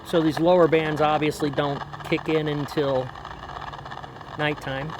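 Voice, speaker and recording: A man's voice: a little high, level tone, unhurried. A man giving a casual, offhand product review outdoors, chatting with folksy exclamations as he demonstrates. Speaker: radio reviewer, vlogger. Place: outdoors in light rain. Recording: clearly noisy outdoor recording.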